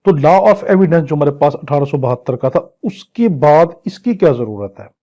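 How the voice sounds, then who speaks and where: A soft, mellow man's voice with a Pakistani accent, pitched a little high. He lectures like an exam coach, repeating points didactically and switching into English terms. The recording is somewhat dull and lo-fi. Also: expressive, moderate pace. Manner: didactic, repetitive, drilling points. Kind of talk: instructional lecture with English legal terms mixed in. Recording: dull, muffled lo-fi audio.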